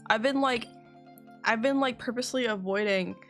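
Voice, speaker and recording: A woman's voice: fairly high pitch, moderate pace, soft background music. A woman streaming a game, chatting casually in a rambling way and swearing now and then. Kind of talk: casual livestream chat.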